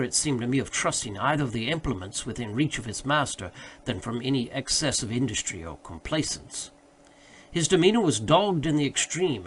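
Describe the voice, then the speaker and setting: A man narrating a literary audiobook in a formal, expressive manner. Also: medium pitch, a little hiss or hum.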